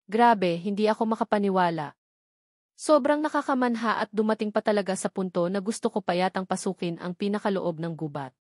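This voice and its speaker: A woman's voice: medium pitch, brisk, a little mellow, no echo, clean studio sound. A woman with a Filipino accent reading a first-person story as a scripted audiobook narration.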